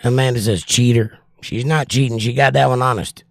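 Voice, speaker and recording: A man's voice: medium pitch, steady tone, a little fast, creaky, dry recording, studio quality. An older man, casually reading viewer comments aloud on his livestream and answering them. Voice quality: voice worn from years of trouble. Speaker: livestream host reading chat.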